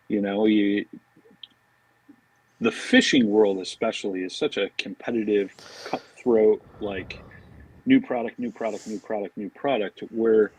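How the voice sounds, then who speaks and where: American male interview guest, deepish and raspy, informal and meandering, laid-back phrasing. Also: no room sound, low-quality recording. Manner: informal, rambling, peppered with "you know" and "man". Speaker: podcast guest, fishing products marketing director. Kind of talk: casual interview chat.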